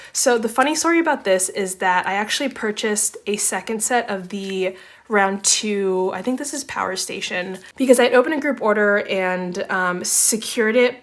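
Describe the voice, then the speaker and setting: A woman's voice: mid-range voice, fairly bright tone. A young woman in casual chat while sorting photocards, chatty and rambling, with a slightly congested voice. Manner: chatty, rambling, often says um and like. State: slightly congested, recovering from laryngitis. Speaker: photocard collecting vlogger.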